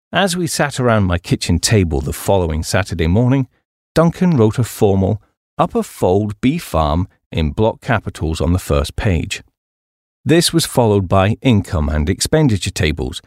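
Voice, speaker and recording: A man with an English accent reads a humorous memoir as a scripted audiobook, in a measured, expressive storytelling manner. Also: lowish voice, no echo, studio quality. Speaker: audiobook narrator.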